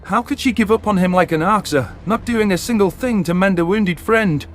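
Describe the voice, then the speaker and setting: A man's voice: high voice, fairly quick. A man narrating a science fiction story in the first person with dramatic delivery. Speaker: audiobook narrator. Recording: narration over background music.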